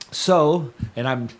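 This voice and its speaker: Older man, hoarse and losing his voice. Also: a little high. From Stockton, California. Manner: rambling, digressive, self-correcting. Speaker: radio host. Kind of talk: casual radio chat. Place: community radio studio. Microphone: studio microphone.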